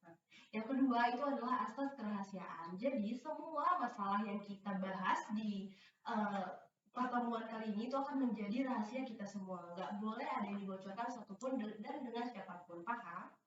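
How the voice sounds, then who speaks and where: A woman's voice: a little high, lively intonation, moderate pace. A young woman leading a group counseling session in a teacherly way, with many hesitant fillers and frequent checks that everyone understands. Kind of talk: classroom-style instruction. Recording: echoey room, distant dull mic, faint noise.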